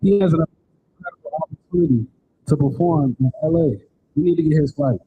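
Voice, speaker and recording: A man's voice: a little high, fairly quick, phone-quality sound, background noise. A man with a Southern American accent emceeing a live church event, hyping the crowd and promoting the performer. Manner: lively, encouraging announcer.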